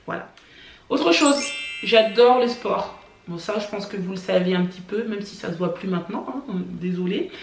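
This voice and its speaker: A woman born in France, telling personal stories to camera for her family vlog in a chatty way, often pausing on "euh" and "voilà". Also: mid-range voice, expressive, reverberant, slight background noise. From France (born in Paris). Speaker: family vlogger. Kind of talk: casual personal storytelling to camera.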